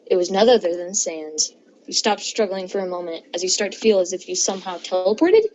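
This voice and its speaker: A woman's voice: lowish voice, animated, brisk, a little mellow, a little hiss or hum. A young woman reading fan fiction aloud to friends, giggly and stumbling over words, with joking asides. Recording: dull lo-fi mic, clear chatter around. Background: friends chattering and laughing.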